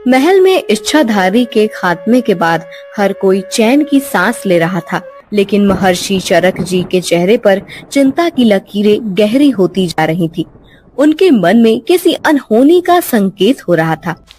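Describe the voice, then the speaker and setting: A woman's voice: medium pitch, sing-song, unhurried, a little mellow, soft background music. A woman narrator reading a scripted story for a cartoon.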